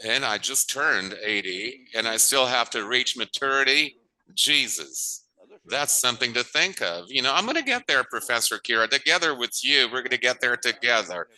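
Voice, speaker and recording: A man interpreting a conference panel talk, halting often and restating phrases as he goes. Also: medium pitch, expressive, pushed and bright, rough recording.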